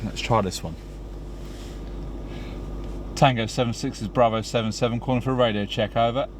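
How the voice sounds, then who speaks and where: Clear vehicle noise runs behind a man's mid-pitched voice. He talks casually, like a vlogger out testing radio gear, and moves between offhand commentary and procedural radio calls. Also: steady tone, normal speed. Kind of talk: casual vlog talk with radio check calls.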